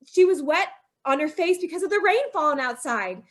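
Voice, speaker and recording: A woman narrating her own story in an old-time radio drama, in a tense, haunted voice that climbs to a frantic pitch. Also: expressive, moderate pace. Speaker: narrator and lead character.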